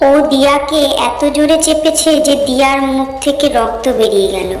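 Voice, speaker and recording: A woman's voice: high voice, moderate pace. A woman reading a scripted horror story as its narrator. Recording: reverberant echo, music behind.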